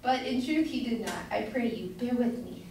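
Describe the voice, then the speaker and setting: A woman's voice: on the high side, lively intonation, normal speed, a little mellow. Girl acting a gushy nagging grocer's wife in a play, eager, far off mic, bit of hiss. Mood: chatty, gushing. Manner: gushing, insistent, repetitive. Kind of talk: amateur staged reading of Jacobean comedy. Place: indoor room at a college, far from mic. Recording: distant echoey room, faint noise.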